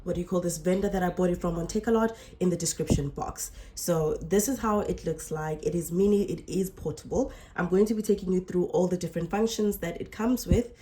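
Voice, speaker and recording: Woman, mellow voice, slightly unwell. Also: lowish voice, fairly quick, few pauses, a little hiss or hum. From South Africa. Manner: chatty, self-correcting explainer. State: slightly unwell, coming down with flu. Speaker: sewing channel host. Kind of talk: casual tutorial.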